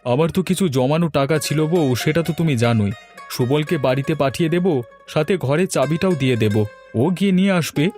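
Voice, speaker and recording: A man's voice: on the high side, a little fast, a little mellow, soft background music, lightly acted. A man voicing an earnest, respectful young village son-in-law in a cartoon fairy tale, polite and well-meaning. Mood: earnest, humble.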